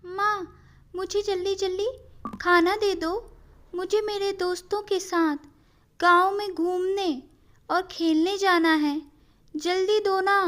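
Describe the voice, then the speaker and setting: Woman playing an eager, high-pitched little boy. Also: a bit bright, heavily acted. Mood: impatient, pleading. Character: impatient, eager little boy in a children's story.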